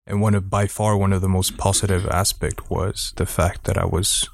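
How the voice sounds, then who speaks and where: Guy talking slow and thoughtful, really low gravelly voice, husky, lots of restarts. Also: flat delivery, dry recording, studio quality. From Sweden. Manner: thoughtful, hesitant, many restarts and fillers. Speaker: guest. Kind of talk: reflective conversational interview.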